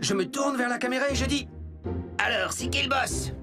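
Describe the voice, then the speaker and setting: An actor in a French cartoon dub playing an excitable, vain, anxious young helicopter robot, with music behind. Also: sits high, normal speed. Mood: showy, playful.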